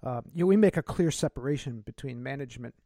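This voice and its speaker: A man's voice: medium pitch, animated, fast talker, dark tone, dry recording, clean studio sound. A middle-aged man hosting an interview, enthusiastic and chatty with many fillers. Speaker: podcast host.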